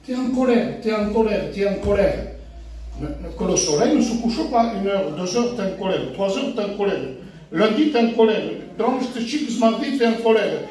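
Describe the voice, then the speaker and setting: High-voiced preacher in an echoey hall. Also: male voice, animated, normal speed. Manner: emphatic, repeats phrases, swings from shouts to hushed asides. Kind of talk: sermon. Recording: very echoey hall, mic from far off.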